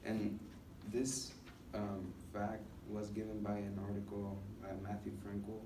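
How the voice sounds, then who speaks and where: A young man from the United States giving an informal student presentation in a classroom, speaking haltingly with many filled pauses. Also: fairly low pitch, completely flat delivery. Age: young adult. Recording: roomy, noisy classroom recording from a distance.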